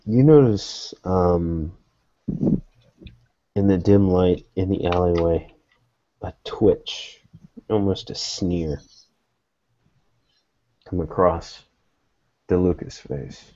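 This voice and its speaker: A man narrates a tabletop game as its game master. His voice is deep and soft-edged, with slow, deliberate phrasing. The recording is close and dry, a bit lo-fi. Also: no room sound.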